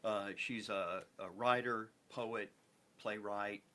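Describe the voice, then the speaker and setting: A middle-aged American man with a fairly low voice speaks conversationally as a guest. He is reflective and halting, building phrases by repetition, with faint noise behind him. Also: steady tone, sharp tone. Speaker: theatre producer and interview guest. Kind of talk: conversational interview talk.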